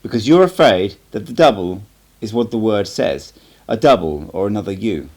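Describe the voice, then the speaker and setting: A man voicing a stern, cryptic old sorcerer teacher in an audiobook, lecturing in a measured, emphatic way. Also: fairly low pitch, expressive, darkish tone. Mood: solemn, emphatic. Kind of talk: amateur audiobook character reading.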